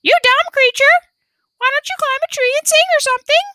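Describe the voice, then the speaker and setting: A woman voicing a plump red male parrot, a cheeky mimic with a squawking, shrill voice. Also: brisk, pushed and bright, dry recording, clean studio sound. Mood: shouting.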